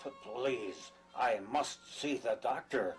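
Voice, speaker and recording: A humble country farmer on the run played by a male actor in an audio drama. He speaks at a middling pitch, haltingly and timidly, tripping over words, with faint music underneath. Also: expressive. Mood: nervous, pleading. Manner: halting, stammering. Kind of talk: dramatic dialogue in an audio play or table reading.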